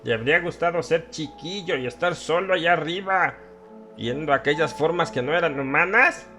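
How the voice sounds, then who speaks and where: A man voicing a hysterical old townsman in a horror audiobook, rambling conspiratorially about dark secrets. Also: a little high, normal speed, soft background music, pitched above the performer's own voice. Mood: ominous. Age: older. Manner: agitated, conspiratorial, laughing and questioning his listener. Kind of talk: dramatised horror audiobook monologue.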